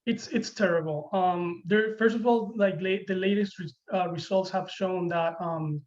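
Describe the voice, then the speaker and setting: A young man with a Venezuelan accent and Spanish as his first language answers interview questions in a high-pitched voice. He speaks haltingly, repeating words as he searches for the next one. The audio is dull and lo-fi. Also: fairly even intonation. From Venezuela, Caracas. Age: early 20s. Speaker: university student, interview guest.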